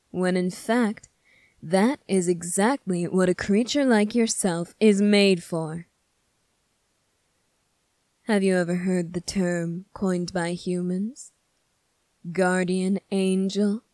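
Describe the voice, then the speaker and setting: A woman playing a smug, scornful male demon prince in an ASMR roleplay, speaking in a condescending, deliberate way. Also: mid-range voice, a little mellow, no echo, natural voice. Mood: accusing.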